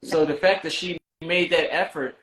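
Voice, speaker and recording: A man's voice: a little high, fairly even intonation, normal speed, a bit bright. A man in his thirties asking for advice in a casual chat, rambling and restarting his sentences. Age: 30s. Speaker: guest seeking advice. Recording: roomy echo.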